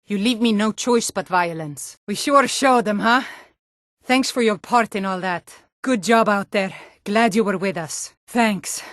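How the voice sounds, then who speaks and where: A woman's voice: mid-range voice, dry recording, clean studio sound, lightly acted. An actress voicing a tough, proud Nord woman soldier and guard in a video game, blunt and commanding in manner. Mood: stern warning, then triumphant. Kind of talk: video game character voice lines.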